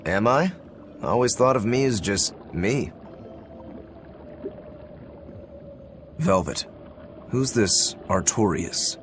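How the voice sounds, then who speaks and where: Earnest low-voiced warrior. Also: male voice, lively intonation, measured pace, slight background noise. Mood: earnest, calm. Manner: plain-spoken, literal. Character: odd, earnest, dutiful male warrior. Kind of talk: dubbed fantasy game acting.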